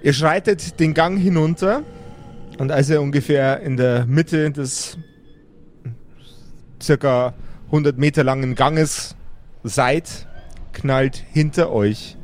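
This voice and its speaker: A man's voice: a little high, slow pace, long pauses. A man narrating an improvised tabletop adventure as game master.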